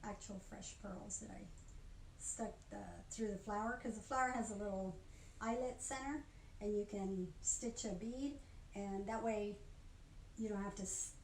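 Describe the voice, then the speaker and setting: An older woman giving an informal craft demonstration in a chatty, halting way, often stopping to rephrase. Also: medium pitch. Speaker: craft instructor. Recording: roomy echo, faint background noise.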